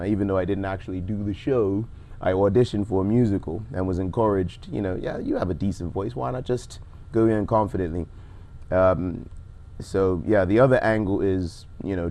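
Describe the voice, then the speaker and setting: A young man with a baritone voice, a rapper talking casually and reflectively as a guest, with many restarts. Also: darkish tone. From Bermuda. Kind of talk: casual interview chat.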